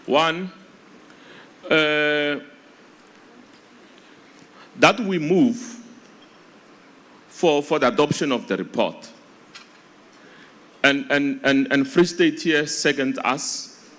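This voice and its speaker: A South African man speaking as a delegate from the floor, making formal, halting appeals with repeated words. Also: a little high, animated, a bit bright. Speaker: provincial delegate. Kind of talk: addressing a congress from the floor.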